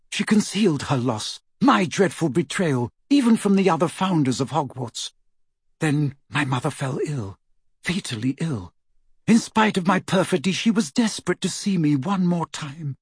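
A man's voice: a little high, husky, no room sound, studio quality, heavily acted. A man voicing a proud, haughty young woman ghost in an audiobook, speaking in a stiff, formal, guarded manner.

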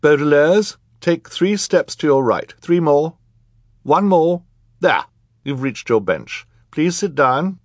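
A man's voice: fairly high pitch, lively intonation, slow pace, no echo, studio quality, voice pushed up. A man voicing a stern, authoritative woman judge with a formal, commanding manner in an audiobook. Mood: directing. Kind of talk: audiobook character acting.

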